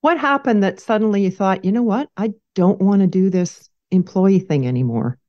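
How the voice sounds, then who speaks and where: A woman's voice: mid-range voice, moderate pace, muffled recording. A woman hosting a podcast, reading an upbeat scripted introduction and then asking interview questions. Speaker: podcast host.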